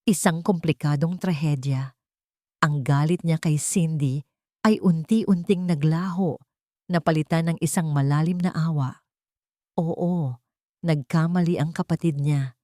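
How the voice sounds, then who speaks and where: A woman's voice: low-pitched, unhurried, pauses often, warm tone, no echo, clean studio sound. A woman storyteller reading scripted narration of a dramatic love story.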